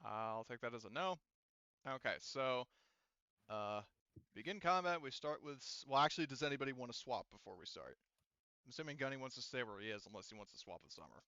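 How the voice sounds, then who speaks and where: A man acting as game master for a tabletop roleplaying game. His voice is bright and clean, and he works through rules aloud with stops and starts. The sound is close and dry, if slightly dull. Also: medium pitch, lively intonation, clean tone, no room sound. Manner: halting, thinking aloud while checking rules. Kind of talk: running a tabletop roleplaying game, casual improvised explanation.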